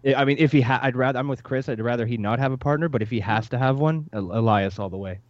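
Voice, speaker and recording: A man's voice: medium pitch, quick pace. A man in his thirties co-hosting a casual wrestling podcast, easygoing and self-mocking. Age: 30s. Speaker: podcast co-host. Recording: dull lo-fi podcast audio.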